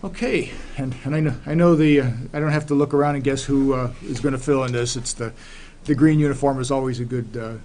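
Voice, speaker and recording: A man chairing a town board meeting, calling votes and introducing a guest in a relaxed, procedural way. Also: medium pitch, fast talker, few pauses, slight background noise.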